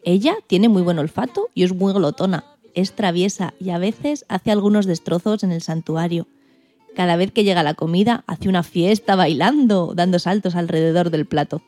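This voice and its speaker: A woman's voice: fairly low pitch, moderate pace, mellow, dry recording, faint music behind. A woman from co-hosting a radio programme, reading scripted narration. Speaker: radio show co-host.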